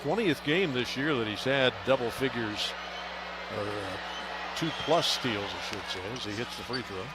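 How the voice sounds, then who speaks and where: A man's voice: mid-range voice, lively intonation, moderate pace. An American man working as a radio announcer, giving live basketball play-by-play in short, clipped phrases. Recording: loud arena noise behind. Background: arena ambience, bouncing basketball.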